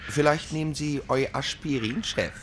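An actor playing a businesslike police officer, matter-of-fact and faintly reproachful, reporting in a naturalistic radio drama. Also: male voice, fairly high pitch, moderate pace, heavy background noise, lightly acted. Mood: dry, urgent. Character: dry, businesslike male police subordinate reporting.

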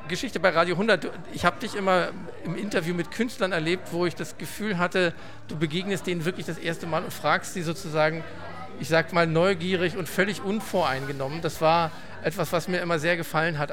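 Hesitant radio host at a live event. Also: male voice, fairly high pitch. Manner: hesitant, searching for words, many restarts. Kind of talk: casual radio talk with guests.

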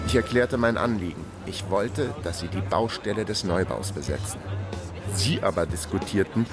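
An actor playing a wry investigator who tells his undercover caper dryly, in a crime radio drama. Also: deep voice. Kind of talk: first-person crime radio drama narration and dialogue.

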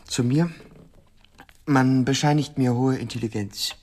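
An actor playing an agitated, self-absorbed male patient in an institution, halting and formal, pleading in a radio drama. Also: mid-range voice, darkish tone, lightly acted. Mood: earnest, intense.

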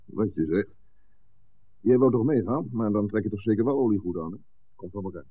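An actor playing a steady, gruffly practical fisherman father in a 1960s Dutch radio drama. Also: lowish voice, fairly quick. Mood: businesslike. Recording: narrow, muffled 1960s radio recording.

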